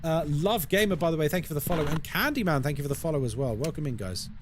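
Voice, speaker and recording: Game streamer, man, London accent, bright lively pitch that swings a lot, chatty, answering chat while explaining mechanics. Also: fast, noisy background. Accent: London English. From London, United Kingdom. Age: adult, over 20. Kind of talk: casual live-stream chat.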